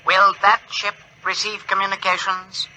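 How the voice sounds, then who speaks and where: A man playing a polite, solemn alien who speaks through a translating machine in halting, formal phrases, in 1950s radio drama style. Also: high voice, completely flat delivery, sharp tone, faint music behind. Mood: formal, measured. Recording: noisy old 1950s radio recording.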